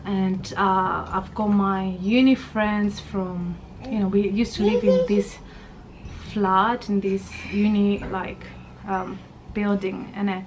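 A woman's voice: mid-range voice, flat delivery, slow pace, slight background noise, poor audio. Chatty thirty-year-old woman vlogging from her bedroom. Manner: rambling, chatty, many fillers. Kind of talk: casual chat to camera. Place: bedroom, indoors. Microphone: camera at arm's length.

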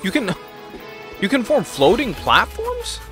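A young man reacts casually in slangy bursts, his pitch jumping around with lively swings. He speaks close to the mic in a home room, with music playing behind him. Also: unhurried. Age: young adult. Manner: informal, slangy, exclamatory. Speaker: reaction video host. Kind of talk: casual excited reaction commentary. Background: game trailer music.

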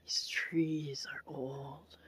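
A woman exploring as a vlogger, giving casual, unhurried walkthrough commentary and musing aloud into a handheld camera. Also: deep voice, little pitch movement, a bit bright, breathy edge. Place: in and around derelict wooden buildings. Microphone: handheld camera or phone, operator behind it.

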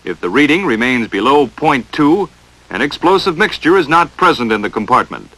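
A man's voice: medium pitch, very animated, normal speed, bright, forceful tone, poor audio. An American man narrating a 1940s instructional film in a stern, emphatic, dramatic coaching manner, on a vintage film soundtrack.

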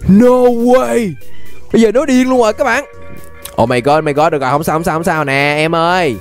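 A young man with a Southern Vietnamese accent giving excited, exclamatory gameplay commentary, repeating phrases and dropping in English interjections. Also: sits high, fairly quick, soft background music. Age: young adult. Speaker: gaming YouTuber. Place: indoor gaming room.

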